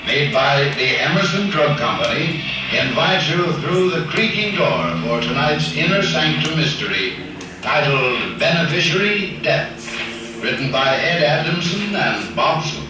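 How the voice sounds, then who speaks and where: A man's voice: on the high side, sing-song, unhurried. A man announcing a 1940s radio show and its sponsor in a period broadcast style. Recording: echoey, distant old radio recording, loud music.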